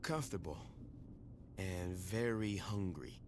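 An actor playing a cocky, laid-back gang leader in a video game, talking bluntly and dismissively in slang. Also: male voice, deep voice, slow pace, long reverb tail, background noise. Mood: groggy, laid-back. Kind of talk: video game cutscene acting.

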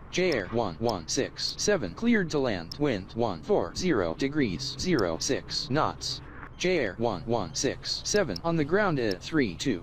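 A man as an air traffic controller delivering scripted clearances. His mid-pitched synthetic voice jumps widely in pitch between stitched phrases, over faint background noise.

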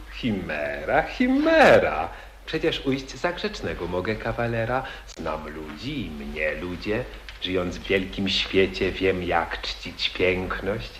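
A man playing a smooth-talking young nobleman suitor. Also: deep voice, very animated, slow, a bit bright, a little hiss or hum, full character voice. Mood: wooing. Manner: theatrical, wheedling, declamatory. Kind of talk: 1940s radio acting in verse. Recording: echoey old radio archive.

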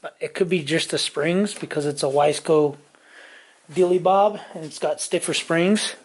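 A man casually talking through motorcycle parts in his home garage, rambling with many pauses and fillers, recorded on a handheld camera. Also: a little high. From California. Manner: rambling, many ums and restarts. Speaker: motorcycle vlogger. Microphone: handheld camera mic, off-screen.

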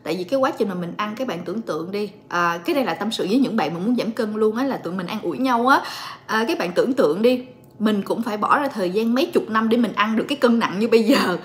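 A woman in her late twenties chatting calmly and directly to viewers in a casual home vlog. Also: mid-range voice, fast. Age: late 20s. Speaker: vlogger and story reader. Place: living room at home.

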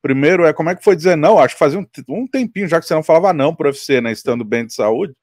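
A man in his thirties hosting an interview from a small home studio with headphones and a studio mic, asking long multi-part questions with lively emphasis. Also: on the high side, rapid-fire, no room sound. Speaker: interviewer and show host. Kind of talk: video-call interview.

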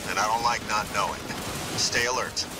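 An actor playing a gruff, decisive military squad commander in a video game, giving urgent orders. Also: male voice, fairly low pitch, steady tone, normal speed, heavy background noise, lightly acted. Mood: resolute.

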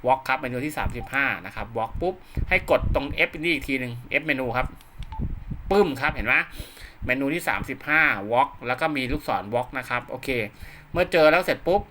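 A man gives a hands-on tutorial over clearly audible noise and clicks. His voice sits at a medium pitch. He is conversational and polite, circling back to repeat each step. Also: a little fast, bright, forceful tone. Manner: conversational, repeats himself often, polite particles. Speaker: host of radio equipment tutorial. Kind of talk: casual hands-on tutorial, talking viewers through steps. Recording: clearly noisy home-made recording with clicks.

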